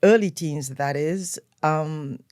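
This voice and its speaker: An older woman with a Trinidadian accent, a guest telling stories of her upbringing in a relaxed, unhurried way. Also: deep voice, dry recording, studio quality. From Trinidad and Tobago. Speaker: guest, event planner. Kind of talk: relaxed storytelling interview. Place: radio studio.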